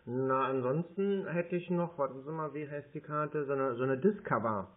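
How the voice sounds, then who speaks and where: A man on a phone call who plays along as a card holder, calmly reading out numbers one digit at a time. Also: mid-range voice, moderate pace. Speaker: caller posing as a card holder. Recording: narrow phone line.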